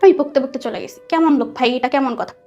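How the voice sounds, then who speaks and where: A woman with a Bangladeshi accent telling a personal story conversationally, animated and dropping in English words. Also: high-pitched, fast talker, highs cut off. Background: soft background music.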